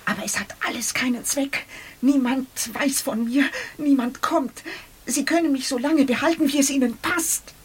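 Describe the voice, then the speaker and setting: An actress in a radio drama playing a desperate imprisoned lady who speaks in a husky, breathy, plaintive lament. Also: high voice, moderate pace, close to an everyday voice. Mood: bitter, resigned.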